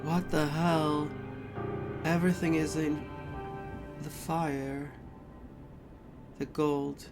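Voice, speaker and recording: Slow, low-voiced woman reacting to a game. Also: fairly even intonation, over music. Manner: halting, repetitive, emotionally invested. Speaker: game streamer. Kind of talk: casual live reaction commentary to a story game.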